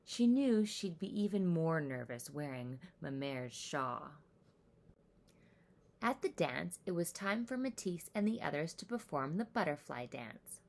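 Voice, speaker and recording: A woman reading a children's story aloud with animated, deliberate, expressive narration. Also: low voice.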